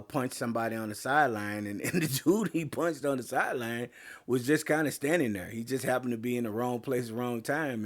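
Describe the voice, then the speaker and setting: Guy doing a sports podcast, kinda hoarse, lots of uhs and restarts. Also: medium pitch. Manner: rambling, many fillers and restarts. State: voice getting hoarse. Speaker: podcast host. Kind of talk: casual sports talk.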